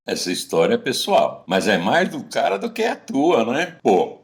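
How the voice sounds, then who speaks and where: A man's voice: mid-range voice, wildly swooping pitch, moderate pace, gravelly. A man playing a blunt middle-aged ringleader among old friends in a radio drama. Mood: wry.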